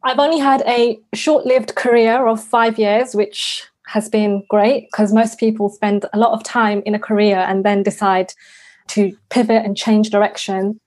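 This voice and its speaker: A woman's voice: medium pitch, a little mellow. A woman in her twenties giving a prepared introduction of herself as an interview guest, speaking steadily and earnestly. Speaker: guest, property investor.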